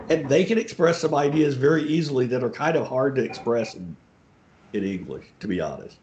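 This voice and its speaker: A middle-aged man talks casually on a video call, halting and restarting as he searches for words. His voice sits at an ordinary male pitch. It sounds roomy and echoey, with dull, low-fidelity audio. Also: lively intonation, darkish tone, a little hiss or hum. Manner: hesitant, with many fillers and self-restarts. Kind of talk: casual discussion.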